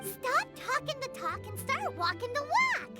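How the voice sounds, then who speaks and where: A woman's voice: very high voice, unhurried, heavily acted. A woman voicing a tiny, bossy floating fairy companion who speaks of herself in the third person. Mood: excited. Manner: talks about herself in the third person, pushy and excitable. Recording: game audio with loud music behind.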